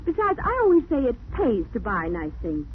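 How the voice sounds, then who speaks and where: An actress with an American accent playing a genteel, spirited young society woman in a 1940s radio mystery, refined and expressive. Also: mid-range voice, brisk. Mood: chatty, teasing. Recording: tinny, noisy 1940s radio recording.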